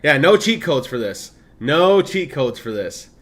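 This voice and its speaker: A man's voice: fairly high pitch, sing-song, a little slow, a bit bright. A man in his thirties streaming a game, chatting casually with a mix of Midwestern, Southern and Californian accent, laughing often and reading on-screen text aloud. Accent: blended Midwestern, Southern and Californian. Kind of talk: casual gameplay stream commentary.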